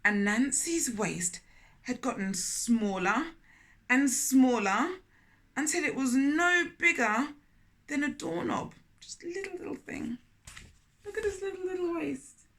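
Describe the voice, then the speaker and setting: A woman reading a children's story aloud in an expressive manner, often turning to question young listeners directly. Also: high-pitched, a little slow. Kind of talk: animated children's read-aloud with asides to listeners.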